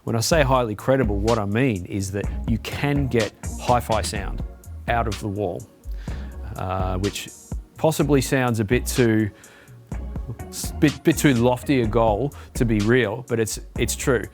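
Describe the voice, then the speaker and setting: A man's voice: mid-range voice, a little slow, a little mellow, faint music behind. An Australian man, a business development manager, giving a conversational product walkthrough with lots of filler words and restarts. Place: demo facility.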